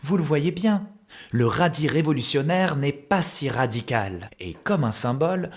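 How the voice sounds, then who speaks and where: A man's voice: fairly high pitch, lively intonation, a little slow. A man narrating a children's cartoon story in a mock-epic, theatrical manner.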